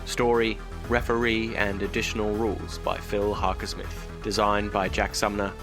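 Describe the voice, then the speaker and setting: A man reading scripted podcast credits in a measured announcer's manner. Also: on the low side, little pitch movement. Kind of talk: scripted intro credits narration. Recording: loud music behind. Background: music.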